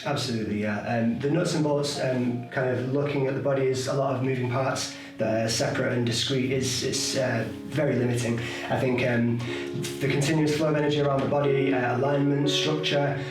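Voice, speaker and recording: A man's voice: mid-range voice, fairly even intonation, a little fast. A man who is a personal trainer and client, talking casually and thoughtfully about his progress, with many hesitations. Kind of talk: casual testimonial. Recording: very echoey, far from the mic, guitar music.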